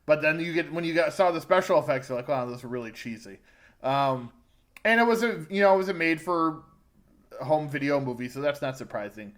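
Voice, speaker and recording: Male podcast co-host, fairly high voice, casual and opinionated reviewer. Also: expressive, fairly quick, fairly bright tone. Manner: conversational, informal, opinionated. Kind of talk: casual film review chat.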